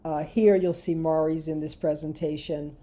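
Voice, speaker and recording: Lady running a knitting call, low mellow voice, chatty, laughs a lot. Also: fairly even intonation, moderate pace. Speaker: knitting designer and class leader. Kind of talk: casual call presentation and interview.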